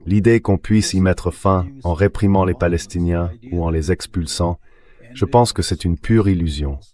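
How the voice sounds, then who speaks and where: A man's voice: deep voice, normal speed, dark tone. A man's synthetic voice reading a translated voice-over as a guest analyst discussing politics.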